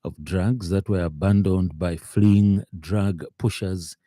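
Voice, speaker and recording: A man with a Zambian accent reading a scripted radio news bulletin in a formal, somewhat halting way. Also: sits low, fairly even intonation, mellow, no echo, clean studio sound. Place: radio studio.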